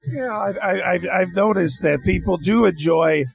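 A man's voice: high-pitched, a little slow, vocal fry. A male radio host interviewing a guest, asking rambling questions with frequent stops and restarts.

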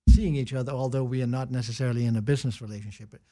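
A middle-aged or older man from Denmark with a Danish accent, talking casually and reflectively as an interview guest in halting phrases. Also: mid-range voice, steady tone, dark tone. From small rural town in Denmark. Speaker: interview guest, business advisor.

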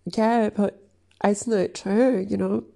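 A woman talks in a slow, rambling way, her voice a little sniffly after crying. Her tone is flat and mellow with a gravelly edge. She stops often to clear her throat and fills gaps with ums and likes. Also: mid-range voice. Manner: halting, many fillers, keeps clearing throat. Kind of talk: casual rambling chat.

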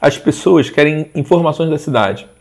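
A man in his forties, a guest in a casual interview, talking in a rambling, animated way with frequent restarts. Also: a little high, normal speed, creaky. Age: 40s. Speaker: interview guest, social media page owner.

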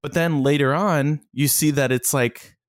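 A man's voice: fairly high pitch, fairly even intonation, a little slow, no echo. Laid-back man chatting about movies. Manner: laid-back, filler-heavy, laughs often. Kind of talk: casual movie review chat.

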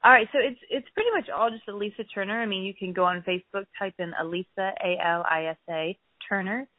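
Podcast guest, woman, hoarse and giggly, dry phone-line sound. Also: medium pitch, fast, no echo. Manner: giggly, says she is losing her voice. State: hoarse, clearing throat. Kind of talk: casual interview chat.

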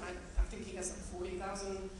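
A woman's voice: mid-range voice, completely flat delivery, fairly quick. A woman, giving a chatty, earnest talk to a room of educators with many ums and you-knows. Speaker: speaker at a teachers' association meeting. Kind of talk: casual talk to an audience. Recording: roomy, echoey hall from far off with ambient noise.

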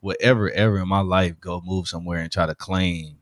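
A man's voice: low voice, steady tone, a little fast, no echo, studio quality. A man from Alabama with a Southern accent, chatting casually in slang and often checking that the listener follows. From Alabama, United States. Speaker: DJ with a studio, podcast speaker. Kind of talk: casual conversational discussion.

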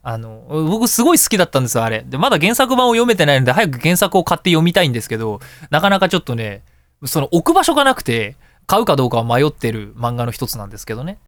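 A man's voice: a little high, big pitch swings, quick pace, fairly bright tone, no echo, studio quality. A man hosting a casual radio show, chatting in a jokey, self-deprecating way. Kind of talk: casual solo radio talk. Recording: background music under the voice. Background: music.

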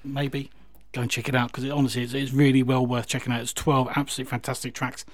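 Fast-talking male music radio host. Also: medium pitch, little pitch movement. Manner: enthusiastic, hesitant, repetitive phrasing. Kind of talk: casual music radio chat.

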